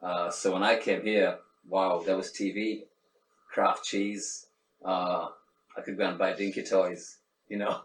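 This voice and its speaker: Low-voiced Sri Lankan-born man, hesitant. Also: little pitch movement, unhurried. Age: middle-aged. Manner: hesitant, many restarts and fillers. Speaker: interviewee. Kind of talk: reflective casual conversation. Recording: echoey room, faint background noise, mic at a distance.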